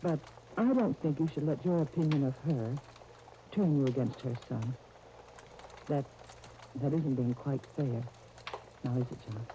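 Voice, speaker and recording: An American woman acting a gentle, reasonable fiancée in a 1930s radio soap opera. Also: very deep voice, a little slow, long pauses. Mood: earnest, reproachful. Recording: noisy, dull 1930s radio disc recording.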